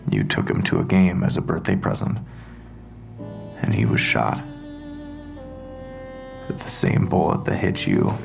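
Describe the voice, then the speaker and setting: Police detective, bass voice, creaky, calm and blunt, radio drama, music behind. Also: male voice, heavily acted. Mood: grave, pressing. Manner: measured, deliberate, grave. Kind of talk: dramatic interrogation dialogue. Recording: music under the voice.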